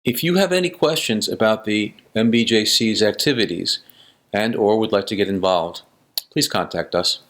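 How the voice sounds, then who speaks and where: A man giving a scripted, measured announcement on behalf of a community coalition. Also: lowish voice, fairly bright tone. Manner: measured, read-from-script delivery. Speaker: coalition spokesperson. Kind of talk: scripted narration.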